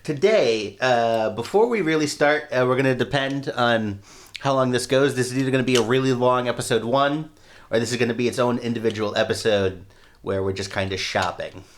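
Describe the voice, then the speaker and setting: A man of middle pitch running a tabletop game podcast, breezy and joking, ready to laugh at himself. A faint hiss lies behind him. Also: brisk. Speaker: game master and host. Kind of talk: casual podcast banter.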